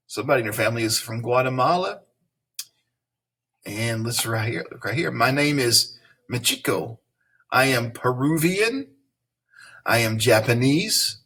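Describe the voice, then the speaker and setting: Husky-voiced man reading a picture book aloud. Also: medium pitch, bright, forceful tone. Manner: explains the text to young listeners, asks them questions; stops to correct his own misreadings. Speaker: reader of a children's book.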